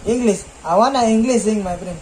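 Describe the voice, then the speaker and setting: A man's voice: sits high, a little fast, darkish tone, close to an everyday voice, low-quality recording. A man voicing a pushy young half-Indian student in a mock Indian-English accent, tacking "my friend" onto every line over a video chat. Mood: pleading.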